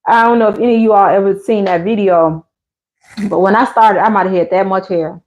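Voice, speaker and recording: A woman's voice: medium pitch, a little fast. An American woman in her fifties chatting casually and candidly with viewers as a livestream host, in colloquial speech. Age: 50s. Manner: candid, conversational, colloquial, animated retelling with acted-out quotes. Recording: slightly dull lo-fi livestream audio.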